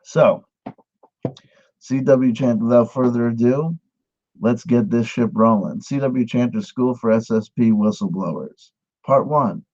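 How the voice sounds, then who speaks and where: A man giving a satirical mock lesson, with a mock-earnest pitchman delivery. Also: unhurried, darkish tone, lo-fi sound. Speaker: mock instructor. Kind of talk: satirical monologue.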